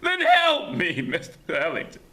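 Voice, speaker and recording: A man voicing the devil disguised as a prisoner, pleading in a high, urgent voice. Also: sing-song, a little slow, sharp tone, heavily acted.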